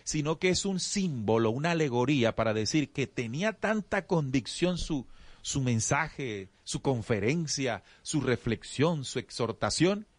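A man's voice: mid-range voice, lively intonation, measured pace, no room sound. A man teaching spiritual ideas in casual conversation, reflective and explanatory, often restarting his sentences. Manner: addresses the audience as "usted".

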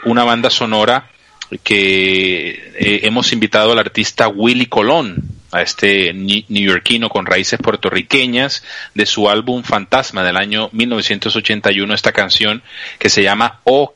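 A man hosting a live radio talk show, speaking earnestly with pauses and small restarts. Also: on the low side, sharp tone, no room sound. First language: Spanish.

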